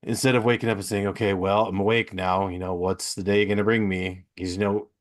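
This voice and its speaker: A man's voice: on the low side, little pitch movement, fairly quick. A man hosting a podcast interview in a casual, earnest and encouraging conversational way. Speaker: podcast host.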